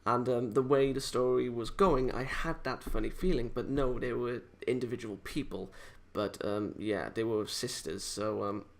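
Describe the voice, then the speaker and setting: A man giving a casual, unscripted review in a halting, hesitant, rambling way, often correcting himself as he goes. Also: medium pitch, gravelly. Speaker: amateur video game reviewer.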